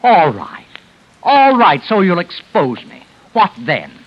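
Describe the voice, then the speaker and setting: An actor playing an evasive, guilty schemer in 1950s radio drama, halting, uneasy and falsely smooth. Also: male voice, sits high, huge pitch swings, heavily acted. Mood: tense, threatening. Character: evasive, nervous male schemer hiding his guilt. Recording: muffled, noisy vintage radio recording.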